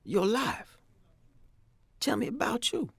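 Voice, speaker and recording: A man playing an old, teasing former slave and musician in an audio drama, with a husky, gravelly drawl. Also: very high voice, expressive, slow pace, fairly bright tone, no echo, exaggerated voice. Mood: easygoing, wry. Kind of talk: nostalgic audio drama acting.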